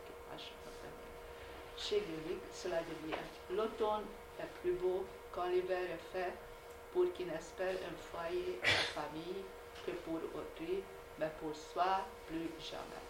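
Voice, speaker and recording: A woman's voice: lowish voice, far from the mic. Woman lecturing in an echoey hall. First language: Hungarian. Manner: measured, analytical, with frequent hesitations. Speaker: conference speaker. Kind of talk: reading an academic lecture. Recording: echoey hall recorded from afar, noisy.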